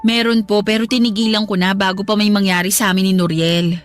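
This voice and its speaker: A woman's voice: medium pitch, fairly even intonation, fast talker, faint music behind, natural voice. A woman playing a young, meek daughter-in-law with a Filipino accent, politely defending herself in a Tagalog radio drama. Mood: pleading, defensive. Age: young adult. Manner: polite, apologetic, protesting.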